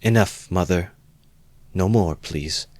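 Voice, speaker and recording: A man voicing a proud young woman in an audiobook, firm and offended, speaking in curt, measured phrases. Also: lowish voice, fairly bright tone, no echo, lightly acted. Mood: imploring, restrained.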